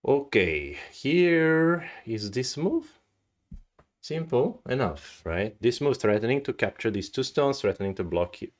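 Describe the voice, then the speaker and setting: A man's voice: mid-range voice, big pitch swings, measured pace. A man teaching go on a live stream, thinking aloud in a casual, musing way with a slightly stuffy nose. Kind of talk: live-stream commentary while solving go problems.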